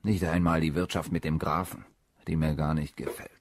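An actor playing a lovesick, commanding young officer in a German radio play, impatient and pleading. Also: male voice, level tone, normal speed, no echo, studio quality. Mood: confiding. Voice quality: husky, breathy low voice.

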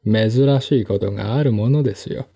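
A man's voice: fairly low pitch, normal speed, mellow, slightly rough. A man voicing a burly, thuggish lawyer in a mystery audio drama, smirking, falsely polite and brazenly familiar. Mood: brazen familiarity.

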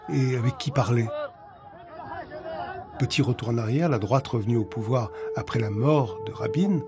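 An older man hosting and narrating a documentary in a grave, measured way. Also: medium pitch. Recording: music under the narration.

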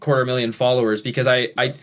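Young guy podcasting from his bedroom on a boom mic, rambly and quick, average pitch. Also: level tone, fairly bright tone. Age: 20s. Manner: quick, rambling, many restarts. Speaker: podcast host. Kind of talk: casual chat. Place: bedroom at home. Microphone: studio mic on boom arm, headphones.

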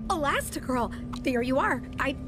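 Young starstruck heroine, high and bouncy, stammering, flustered, loud music behind. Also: female voice, animated, unhurried. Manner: stammering, awkward, gushing. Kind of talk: animated game dialogue.

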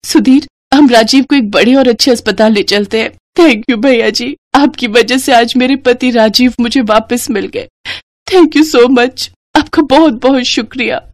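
A woman's voice: fairly high pitch, a little slow, dry recording, studio quality, voice pushed up, lightly acted. A woman voicing a grieving but steadfast young wife in a cartoon story, earnest and pleading. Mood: pleading, grateful.

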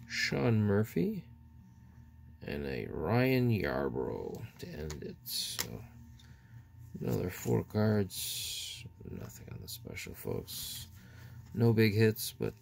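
Slow, gravelly man opening card packs. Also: fairly low pitch, darkish tone, a little hiss or hum. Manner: unhurried, reads out player names one by one. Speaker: card-opening channel host. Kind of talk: casual pack-opening commentary. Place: indoors at a table, hands on camera only.

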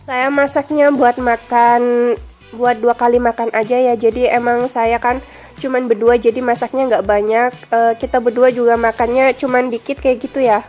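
Young woman, nasal from a cold, bright chatty vlog voice-over, light music under it. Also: a little high, little pitch movement, normal speed. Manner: chatty, friendly, addresses viewers directly. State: stuffy nose from a cold. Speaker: vlogger. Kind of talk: casual voice-over narration.

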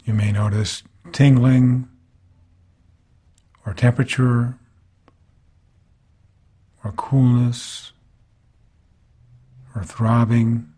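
Male meditation guide, medium pitch, soft-grained husky tone, calm and unhurried instructional delivery with frequent pauses. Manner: calm, unhurried, gently instructive, pausing between phrases. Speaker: meditation teacher. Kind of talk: guided body scan meditation narration.

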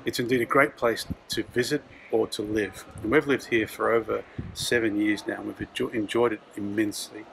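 A man's voice: fairly low pitch, fairly even intonation, brisk, gravelly, a bit bright, slight background noise, rough recording. A middle-aged Australian man hosting a travel vlog, casually giving practical tips.

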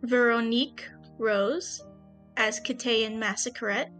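Young adult female co-host, slightly high voice, playful mock drama, close dry sound with soft music underneath. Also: fairly even intonation, slow. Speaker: podcast co-creator and host. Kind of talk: casual banter and thank-you message.

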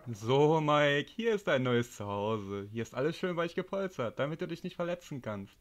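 A man's voice: a little high, big pitch swings, normal speed. A man playing an impatient, condescending carer on a psychiatric ward in a comic audio play. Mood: patronising. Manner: condescending, exasperated. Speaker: voice actor in a parody audio drama.

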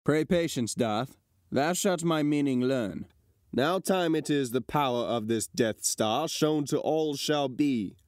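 An actor playing a cold, imperious imperial governor, speaking formal archaic verse in an amateur dramatic reading. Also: male voice, medium pitch, takes it slowly, no echo, studio quality, close to an everyday voice. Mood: commanding.

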